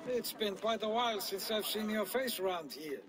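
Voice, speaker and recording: An actor playing a courteous, troubled Viking town deputy in a video game, speaking earnestly and ruefully. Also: male voice, sits high, expressive, normal speed. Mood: friendly. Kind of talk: dramatic video game acting.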